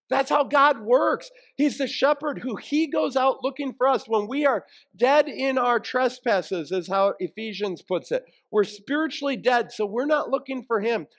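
A man preaching a sermon in a conversational, colloquial way, putting emphatic questions to his listeners and quoting scripture. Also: high voice.

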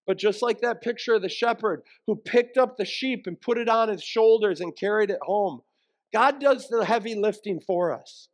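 A man's voice: high-pitched, moderate pace. A man preaching a sermon in a conversational, colloquial way, putting emphatic questions to his listeners and quoting scripture.

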